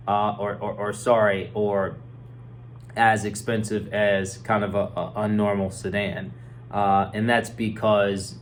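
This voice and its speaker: A hoarse man with a cold, an insurance agent casually explaining things with many fillers and repeated words. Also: on the low side, a little hiss or hum. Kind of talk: casual explainer talk to camera.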